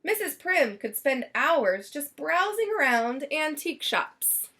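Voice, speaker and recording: A woman's voice: sits high, big pitch swings, takes it slowly, pushed and bright. A woman reading a children's story aloud expressively, stretching and stressing words for drama. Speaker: storybook reader.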